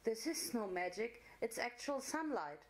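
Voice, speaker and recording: A woman's voice: on the high side, very animated, unhurried. Woman playing an eager young unicorn filly in a storybook. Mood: eager, awed.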